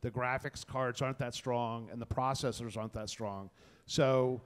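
A man's voice: mid-range voice, steady tone, moderate pace, smooth. A man talking casually and enthusiastically as a panelist, in an informal, colloquial way. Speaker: panelist, tech content creator. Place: indoor event space before a small audience. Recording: reverberant room, panel event.

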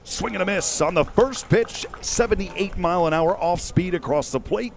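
A man's voice: sits high, normal speed, creaky. Male baseball play-by-play announcer outdoors. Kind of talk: live sports commentary. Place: outdoors at a windy ballpark. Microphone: headset boom mic.

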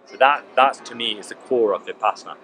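A man in his forties talks earnestly to a close camera on a park lawn, often restarting his sentences. His pitch sits in the middle, and faint outdoor ambience hums behind him. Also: fast, fairly bright tone, slight background noise. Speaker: vlogger. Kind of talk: casual reflective monologue. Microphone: camera at close range.

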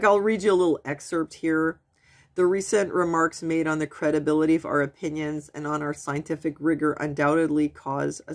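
A woman in her forties with a stuffy nose from a cold, chatting casually into her webcam at home as she reads and translates news articles. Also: deep voice. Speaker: vlogger. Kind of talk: casual commentary reading news aloud. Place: small room at home, indoors. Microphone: webcam at desk.

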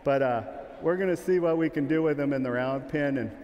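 A man's voice: a little high, a little fast, a little mellow, dull audio. A middle-aged man giving a casual, joking sheepdog training demonstration in a large indoor arena. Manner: casual, joking, calls commands to his dog. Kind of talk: live demonstration talk. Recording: echoing arena, long reverb.

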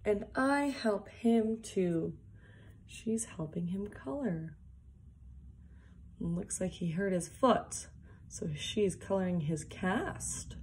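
A young woman reading a picture book aloud for small children, deliberately, with casual asides. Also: mid-range voice, expressive, darkish tone, a little hiss or hum. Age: young adult. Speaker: early years worker reading a picture book. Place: small plain indoor room, seated at a desk. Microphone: phone or device propped at arm's length.

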